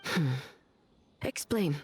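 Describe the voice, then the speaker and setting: A woman playing a stern, calm ruler deity in a video game, speaking formally and with authority. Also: very slow, a little hiss or hum. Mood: measured, questioning. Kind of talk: scripted video game voice acting.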